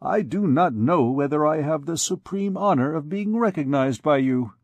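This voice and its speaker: A man's voice: fairly high pitch, expressive, normal speed, a little mellow, no room sound, lo-fi sound. A man voicing a swaggering young army captain who talks in a boastful, blustering way. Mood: gallant.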